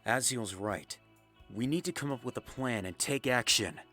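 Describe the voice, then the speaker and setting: A man voicing a level-headed young planner in a fantasy visual novel, calm and reasoning. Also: mid-range voice, normal speed, soft background music. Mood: determined. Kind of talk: amateur visual novel voice acting.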